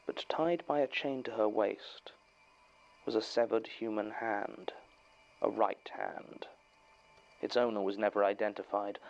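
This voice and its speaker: An actor playing a grave, precise narrator of horror accounts, reading formally in a measured storytelling way. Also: male voice, lowish voice, creaky, muffled recording. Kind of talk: dramatic reading of a horror statement.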